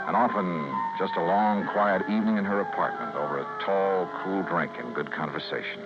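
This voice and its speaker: A man's voice: sits low, moderate pace, close to an everyday voice. An American man playing a hot-tempered freelance investigator in an old radio detective drama, with a clipped, terse delivery. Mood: grieving, bitter. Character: hard-boiled, hot-tempered male freelance insurance investigator. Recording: muffled old radio broadcast, music behind.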